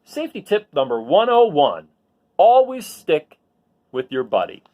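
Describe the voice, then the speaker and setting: Male storyteller, picture-book read-aloud. Very animated, wide-swinging intonation, emphatic words, conversational asides. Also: on the high side, unhurried. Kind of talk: expressive read-aloud with chatty asides to listeners.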